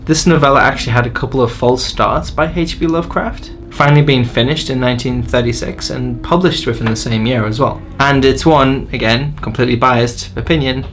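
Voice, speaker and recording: A man's voice: medium pitch, a little fast, hardly any pauses, fairly bright tone. A man narrating a scripted book review in an enthusiastic, opinionated way. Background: faint music.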